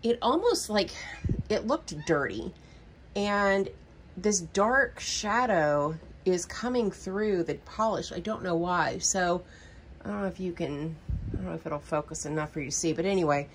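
A middle-aged woman with a Southern drawl talks her way through a shopping haul in a rambling, chatty manner, full of ums. Her voice sits a little low. Faint hiss hangs under the kitchen recording. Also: expressive, measured pace. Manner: chatty, rambling, many ums, baby talk to her cat. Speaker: budgeting vlogger. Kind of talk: casual haul narration. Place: home kitchen.

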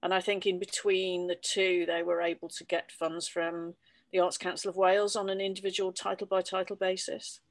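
A woman in her fifties, a publisher, explaining her press's history at a measured pace on a video call from home. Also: fairly low pitch, flat delivery, no echo, poor audio. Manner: measured, explanatory, with filler ums. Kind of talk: online panel talk. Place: home room under sloped ceiling. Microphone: laptop webcam video call.

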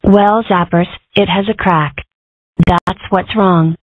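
A woman voicing a weary, dry-witted female rabbit in charge, deadpan and sardonic, in a cartoon comic dub. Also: on the low side, takes it slowly, dry recording, narrow, tinny audio. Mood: weary, explaining. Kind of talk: comic dub character acting.

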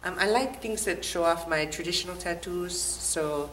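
A woman's voice: fairly low pitch, moderate pace, fairly bright tone. A woman giving short, playful answers in a studio interview. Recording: distant mic with faint noise.

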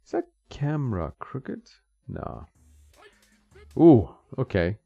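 A man's voice: mid-range voice, very animated, slow pace, gravelly, dark tone, slightly rough, dry recording. A man giving casual gameplay commentary, often laughing and talking back to the characters on screen. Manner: chuckling, talks back to the game characters. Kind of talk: casual let's-play commentary. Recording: slightly dull lo-fi mic with game music.

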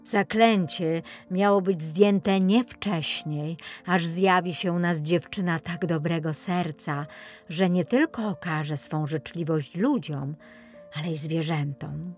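A woman's voice: on the low side, takes it slowly, a little mellow, soft background music. A woman voicing a noble young prince in a children's fairy tale, speaking in an earnest, declarative way. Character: noble young prince freed from a witch's spell.

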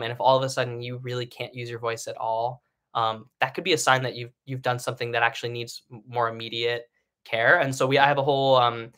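A man's voice: mid-range voice, pushed and bright. A man in his thirties giving a singing lesson from his living room in a live stream. He talks in a chatty, encouraging way, full of fillers and restarts. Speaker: singing teacher. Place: home living room, indoors.

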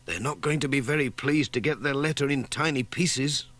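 A man's voice: medium pitch, moderate pace, a bit bright, voice pushed up, close to an everyday voice. A man voicing a sensible, motherly, mildly fussing village woman in a children's storybook.

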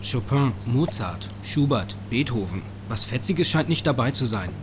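A man's voice: medium pitch, expressive, normal speed, lightly acted. A man playing a self-assured teenage boy detective in an adventure game, speaking in knowing, declarative lines. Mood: observant, wry. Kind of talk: scripted point-and-click game dialogue. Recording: game audio with clear noise.

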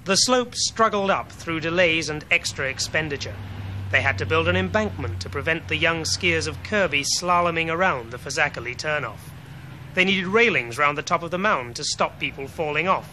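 A man in his thirties with an English accent giving a scripted television report in a wry, measured way with deliberate pauses. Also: on the high side, lively intonation, pushed and bright. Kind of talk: scripted investigative report, to camera and voice-over. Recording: 1970s TV film sound, background noise.

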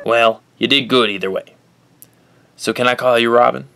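An actor playing an earnest, kindly police commissioner in an audio drama, with a creaky voice and a sincere, probing manner. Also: male voice, mid-range voice, steady tone, moderate pace, sharp tone.

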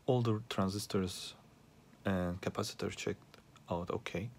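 A man with a lowish, fry-edged voice talks through a repair problem without a script. His delivery is very unhurried and hesitant, broken by frequent pauses, with music faintly present behind him. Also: steady tone, a little mellow. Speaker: hobbyist repairer asking viewers for help. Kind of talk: unscripted troubleshooting demonstration. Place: small indoor workspace at a repair bench.

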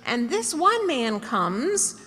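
Bright-voiced American woman preaching in a hall. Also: high-pitched, sing-song, slow, breathy edge. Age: middle-aged. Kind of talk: sermon read from notes to a congregation. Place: church meeting hall, indoors. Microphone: podium gooseneck microphone. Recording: reverberant hall, podium microphone.

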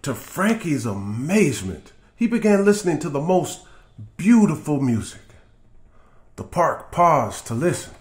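A middle-aged man narrates a children's storybook. His voice sits slightly high for a man and moves with very lively intonation, expressive from phrase to phrase. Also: unhurried. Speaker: musician reading a children's book. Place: small indoor room with guitars on the wall.

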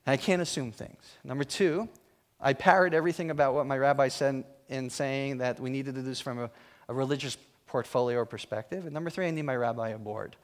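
A man's voice: mid-range voice, fast. A man giving a talk in a church hall, telling humorous, self-mocking stories about his work. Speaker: guest speaker. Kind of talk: storytelling talk.

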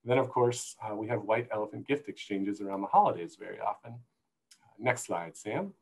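A man's voice: on the low side, animated, normal speed, gravelly, darkish tone, husky, poor audio. A middle-aged man with an American accent giving an informal slide presentation as a guest expert over a video call. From United States. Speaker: zoo conservation manager, guest speaker. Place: room indoors. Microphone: earphone headset on video call.

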